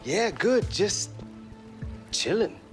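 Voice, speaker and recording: A man's voice: sits high, very animated, drawn-out words, full character voice. A young English footballer in a game cutscene, with a London accent and wildly swinging intonation. He is upbeat and easygoing, bantering casually over light background music. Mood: relaxed. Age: young adult.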